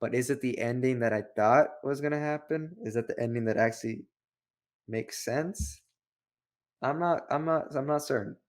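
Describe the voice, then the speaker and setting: A man's voice: medium pitch, normal speed, darkish tone. A man co-hosting a review show, talking casually and giving opinions in a rambling way full of rhetorical questions. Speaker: co-host of a book review show.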